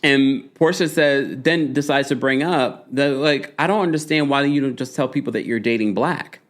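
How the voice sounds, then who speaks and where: A man hosting a casual live recap of a reality show, gossipy and animated, with quick asides to his chat. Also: medium pitch.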